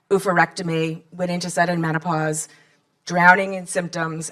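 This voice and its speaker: A woman's voice: fairly low pitch, fairly bright tone. A woman in her fifties, a nonprofit founder giving candid, chatty closing remarks to a live audience and rallying them with questions. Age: 50s.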